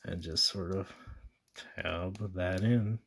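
A man with a deep, low-pitched voice talks viewers through a toy step by step. He is casual and chatty, with fillers and self-corrections. He is recorded indoors at a table by an off-screen camera mic. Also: fairly even intonation, slow, creaky, fairly bright tone, slightly rough. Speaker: toy reviewer. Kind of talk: casual hands-on toy demonstration. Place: indoors at a table with a posterboard backdrop.

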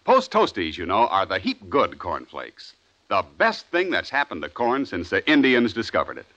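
This is a male American radio announcer from the 1950s, selling and announcing with polish and emphasis. His voice is bright and extremely lively in pitch. The old broadcast recording sounds somewhat dull. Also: normal speed.

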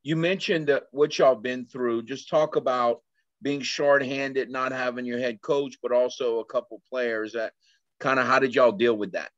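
A man's voice: mid-range voice, steady tone, moderate pace, a bit bright, no echo, highs cut off. A man reporter asking questions at a press conference in a casual way, saying y'all and kinda.

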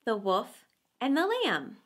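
Middle-aged woman, children's storyteller, high voice, slow pace, theatrical read-aloud. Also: wildly swooping pitch, over music. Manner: theatrical, deliberate phrasing.

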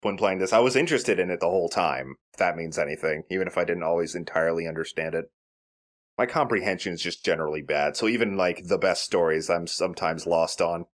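A man's voice: deep voice, fast talker. A man giving casual, explanatory game commentary with rambling asides. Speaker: let's play commentator.

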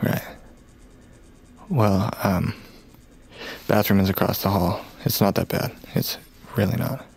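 A man's voice: fairly low pitch, fairly even intonation, slow pace, gravelly, pauses often, darkish tone, slightly rough, heavily acted, rough recording. An actor playing a troubled, weary man in his late twenties in an audio drama, guarded and stammering. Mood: subdued, awkward. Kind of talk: naturalistic audio drama acting. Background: music.

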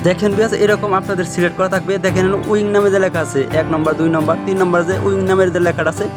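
A man's voice: sits high, little pitch movement, racing. A man narrating a step-by-step app tutorial, repeating himself and often checking that the viewer follows. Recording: voice over plucked guitar music. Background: plucked guitar music.

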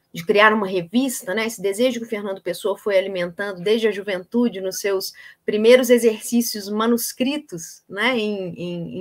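A woman's voice: medium pitch, fairly bright tone. Woman, middle-aged, academic lecture, hesitant with many fillers and restarts, video call audio.

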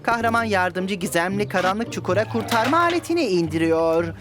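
A woman voicing a boastful, clumsy, excitable crow in a children's cartoon, talking pompously like a theatrical superhero. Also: medium pitch, a little fast, close to an everyday voice. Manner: pompous self-narration in third person, theatrical.

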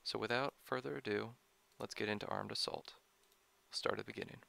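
Young man, casual gaming commentator, low gravelly voice with a bright edge. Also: fairly even intonation, fairly quick. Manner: casual, chatty, self-deprecating. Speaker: gameplay commentator. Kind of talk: let's play commentary.

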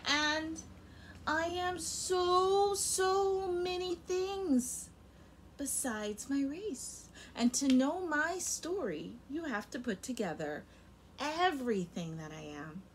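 Young woman, teacher, picture-book read-aloud for small children, highly expressive, slight background hiss. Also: slow. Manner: expressive and animated, with big swings in loudness. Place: home room in front of a rainbow feather backdrop.

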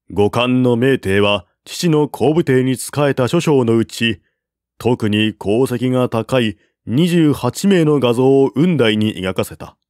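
A man narrating a classical war novel as an audiobook, in a measured, even storytelling manner. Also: medium pitch, no echo, clean studio sound.

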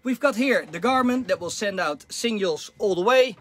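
A man in his thirties with a Dutch accent, giving an excited tour of his sailboat while filming himself. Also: sits high, moderate pace. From Netherlands. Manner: enthusiastic, chatty, addresses viewers as guys. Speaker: sailing vlogger. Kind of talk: casual vlog boat tour. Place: on a sailboat in a harbor, cabin and deck. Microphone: camera at arm's length.

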